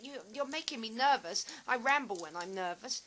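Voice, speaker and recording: Actress as eccentric nervous woman, bright forceful voice, theatrical rambling, audio play, slight hiss. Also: on the high side, lively intonation, normal speed.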